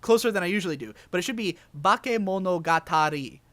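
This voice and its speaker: A man's voice: sits high, animated, quick pace, crowd noise. A young man with a mild Southern American accent, co-hosting a casual podcast and earnestly explaining his point at length. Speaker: podcast co-host. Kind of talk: casual group podcast argument.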